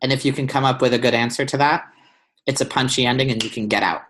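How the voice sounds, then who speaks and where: A man's voice: medium pitch, steady tone, fast talker, a bit bright. A young man giving one-on-one feedback on a student's essay over a video call, thoughtful, with many ums and restarts. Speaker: writing tutor. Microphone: webcam on video call.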